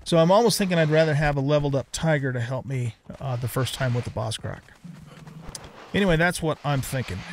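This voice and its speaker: A man's voice: mid-range voice, expressive, fairly quick, a little hiss or hum. A middle-aged man giving casual gameplay commentary, rambling and thinking aloud as he hosts a let's play.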